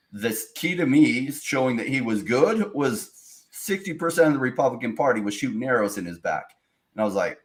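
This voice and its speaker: A man on a casual podcast panel who talks emphatically and lays out long, opinionated arguments. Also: fairly low pitch.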